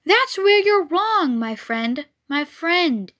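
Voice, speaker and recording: A woman voicing a fast-talking female goose who repeats her words three times. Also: very high-pitched, big pitch swings, fairly bright tone, pitched above the performer's own voice, full character voice. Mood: cheery.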